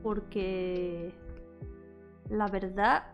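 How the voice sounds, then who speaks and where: A woman's voice: mid-range voice, level tone, drawn-out words, highs cut off. A woman chatting casually on an art livestream, thinking aloud in a playful, self-deprecating way. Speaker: VTuber art streamer and game developer. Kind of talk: casual livestream chat while drawing, switching between English and Spanish. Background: background music.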